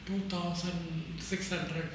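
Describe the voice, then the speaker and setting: An elderly Indian man in his eighties, with a slightly raised pitch, recalls his life in a halting way, often breaking off and starting again. He is recorded from a distance in a very echoey room. A clear background hiss runs underneath. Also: little pitch movement. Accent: Indian English. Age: 80s. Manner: halting, with frequent restarts and pauses. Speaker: interviewee, translator. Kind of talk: recorded interview, reminiscing. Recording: echoey room, far from mic, noisy.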